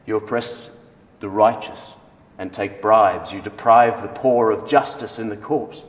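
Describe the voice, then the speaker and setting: A man's voice: on the low side, steady tone, a little slow, close to an everyday voice. A man performing a dramatic scripture reading as a stern, wrathful God speaking through a prophet, solemn, declamatory and emphatic. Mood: stern, condemning. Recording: echoey hall with long reverb.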